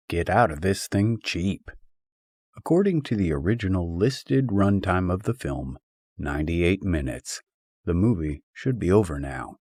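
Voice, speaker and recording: A man reading a humorous philosophical essay as a scripted audiobook, in a wry and expressive way. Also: low-pitched, husky, dry recording, clean studio sound. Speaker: audiobook narrator.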